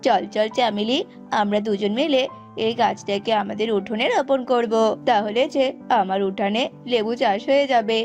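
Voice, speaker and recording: A woman's voice: a little high, moderate pace, a little mellow, faint music behind, lightly acted. A woman playing a simple, cheerful farmer bird in a cartoon, eager and humble. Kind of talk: cartoon voice acting.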